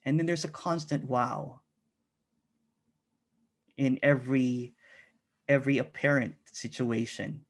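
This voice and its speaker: A middle-aged man from the Philippines talks over a video call, his voice full of gravelly fry. He speaks slowly and haltingly, restarting phrases and filling pauses as he reflects. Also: mid-range voice. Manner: halting, many restarts and fillers. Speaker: interview guest. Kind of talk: reflective casual conversation.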